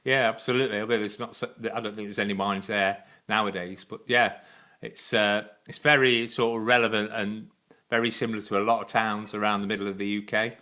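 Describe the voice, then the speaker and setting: A man's voice: on the low side, steady tone, a little fast, pushed and bright. A man hosting a live stream interview, enthusiastic and rambling, with lots of fillers. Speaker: show host.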